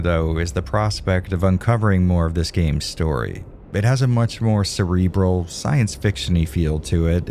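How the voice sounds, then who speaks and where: A man with a low, mellow voice talks through a game review voice-over. His delivery is casual and candid, with a dry, wry touch, and a faint hiss sits under the recording.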